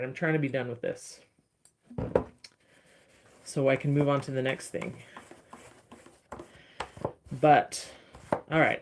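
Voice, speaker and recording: Deep-voiced woman chatting on a phone livestream. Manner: chatty, rambling, reading and answering viewer comments. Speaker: encaustic artist streaming live. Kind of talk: casual livestream chat while working.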